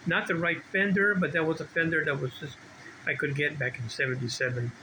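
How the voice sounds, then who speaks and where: A middle-aged or older man giving a casual, unscripted video walkthrough as a hobby collector, rambling and halting as he restarts his sentences. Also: fairly high pitch. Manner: rambling, halting, self-interrupting. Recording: clear steady background noise, ambient hum.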